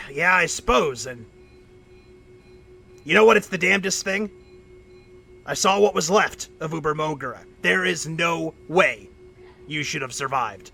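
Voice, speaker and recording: A man playing a loyal, worried mechanic in an audio drama, speaking earnestly and haltingly. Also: a little high, gravelly, bright, forceful tone, full character voice. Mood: incredulous. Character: worried, loyal male mechanic friend, irreligious and wry.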